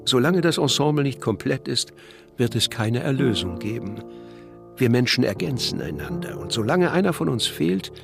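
A man acting an earnest, devoted festival impresario in a radio play, husky and gravelly, reassuring and ceremonious. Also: medium pitch, very animated, moderate pace, over music. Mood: wistful, earnest.

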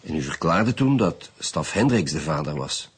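An actor playing a commanding Flemish chief detective, direct and questioning, in a radio drama. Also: male voice, very deep voice, big pitch swings, normal speed, husky, full character voice. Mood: matter-of-fact. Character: commanding, probing male chief police detective.

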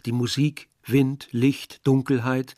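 Ein Schauspieler spielt den nachdenklichen jungen Dörfler, der in einem literarischen Hörspiel von seiner Reise erzählt. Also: male voice, mid-range voice, level tone, takes it slowly, mellow, no room sound, studio quality, lightly acted. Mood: wry. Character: weary, observant young male villager narrating a journey. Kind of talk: literary radio drama narration.

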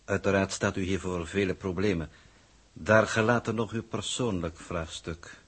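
A coolly clinical coordinator from a 1960s Dutch space radio serial. His voice is fairly low and husky. He chooses formal words precisely and sounds detached, faintly reproachful. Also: steady tone, normal speed, a bit bright.

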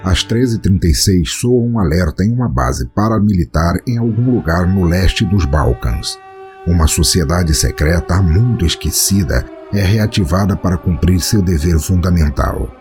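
A man's voice: low voice, animated, moderate pace. A man with a Brazilian accent hosting and narrating a scripted storytelling podcast in a theatrical, florid manner. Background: music.

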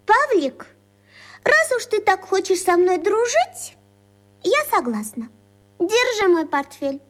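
A woman's voice: very high-pitched, very animated, slow, fairly bright tone, full character voice. A woman playing a self-important, lovestruck young schoolgirl in a children's radio play, theatrical and bossy. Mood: bossy, condescending.

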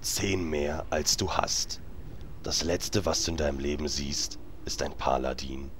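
A man's voice: very deep voice, measured pace, fairly bright tone, slight background noise. A man acting an exasperated game master in a comedy audio drama, explaining things in a weary, impatient way. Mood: weary narrating. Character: exasperated male game master of a tabletop group.

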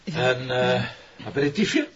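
Confident Flemish police inspector, lo-fi. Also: male voice, mid-range voice, expressive, takes it slowly. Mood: casual, dry. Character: confident, wry, persuasive male police inspector. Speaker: actor. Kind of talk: naturalistic crime drama dialogue. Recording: dull lo-fi audio with clear noise.

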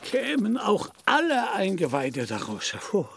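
An actor playing a grandiose, deluded knight-errant in a radio play, declaiming pompously with a creaky voice. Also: male voice, sits high, wildly swooping pitch, measured pace, fairly bright tone, slight background noise, full character voice. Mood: boastful. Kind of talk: literary radio play acting.

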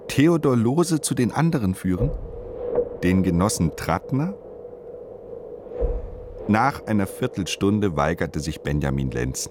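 A man narrating a radio play in a measured, deliberate way, reading literary prose. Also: sits low, noisy background.

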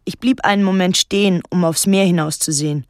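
An actress playing a solitary, watchful island woman, narrating a literary radio drama in a measured, restrained and introspective way. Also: fairly low pitch, dry recording, studio quality, close to an everyday voice. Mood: reflective, wistful.

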